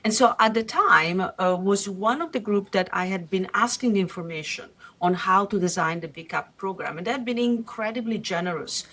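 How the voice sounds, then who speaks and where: A middle-aged woman with a slight Italian accent, from Italy, explaining her career calmly as a guest in a podcast interview, pausing often. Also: mid-range voice. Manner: measured, explanatory, with frequent "uh" pauses. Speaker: guest investor.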